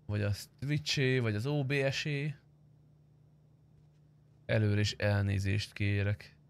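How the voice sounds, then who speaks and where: A man's voice: lowish voice, creaky, clear, resonant tone. A young man chatting casually while streaming a roleplay game on a close microphone. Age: young adult.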